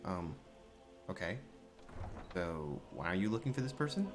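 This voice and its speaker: A man doing casual in-character commentary while role-playing a video game, musing and low-key. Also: sing-song, normal speed, music in the background.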